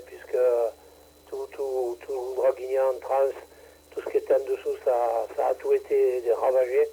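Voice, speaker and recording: A man's voice: mid-range voice, mellow, breathy edge, low-quality recording. A man running a ham radio net, chatting casually and hesitantly with many fillers, from southern France. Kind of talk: casual on-air radio chat.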